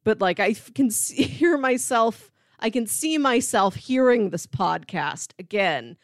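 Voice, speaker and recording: A woman's voice: on the high side, animated, measured pace, no echo, clean studio sound. A woman co-hosting a podcast, chatting casually and playfully about media. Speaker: podcast co-host.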